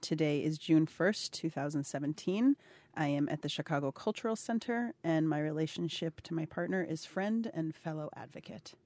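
A woman in her thirties asks warm, curious follow-up questions in a conversational interview. She sounds encouraging and engaged. The recording is dry and close. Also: deep voice, normal speed, no room sound. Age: 30s. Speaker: interviewer, health advocate. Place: indoors at a cultural center.

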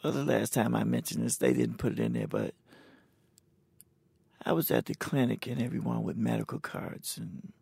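A man in his sixties, talking plainly and haltingly about his own experience in a recorded conversation. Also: mid-range voice, big pitch swings, a little mellow, dry recording. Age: 60s. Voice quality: gravelly, husky. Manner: plain, halting, unhurried storytelling. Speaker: interviewee. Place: indoors at a cultural center.

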